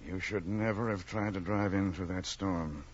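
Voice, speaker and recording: An actor as a grave, paternal doctor in an old radio drama. His solemn, measured voice is very low, on a dull recording with faint noise. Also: fairly even intonation, natural voice. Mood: grave, sympathetic.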